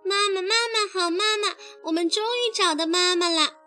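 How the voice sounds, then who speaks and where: A woman plays a swarm of tiny baby tadpoles in a storybook. The voice is very high and bright, eager and excitable, calling out in sing-song phrases over faint music. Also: slow, exaggerated voice. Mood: affectionate, delighted.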